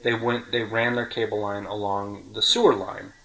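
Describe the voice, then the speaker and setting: A man's voice: fairly low pitch, little pitch movement, normal speed, creaky, a bit bright, rough recording. A middle-aged man hosting a podcast, chatting casually and reminiscing in a talkative way, often rephrasing himself. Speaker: podcast host.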